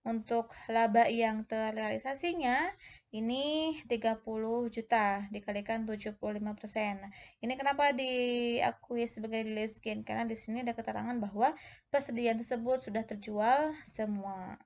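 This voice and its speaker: A woman's voice: a little high, steady tone, normal speed. A woman explains step by step as a tutor in an informal lesson, often posing questions and adding conversational tags. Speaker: accounting tutor presenting a video lesson.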